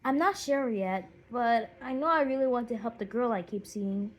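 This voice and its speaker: Woman as young male eagle, storybook hero, sincere, thoughtful, slightly raspy. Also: on the high side, moderate pace, lightly acted. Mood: earnest. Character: earnest, big young golden eagle, male. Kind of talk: audiobook character voice.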